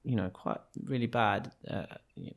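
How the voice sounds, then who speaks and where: Low-voiced man, rambling and reflective. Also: moderate pace, vocal fry. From Kenya. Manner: rambling, with many restarts and fillers. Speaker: guest. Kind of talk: reflective conversational storytelling.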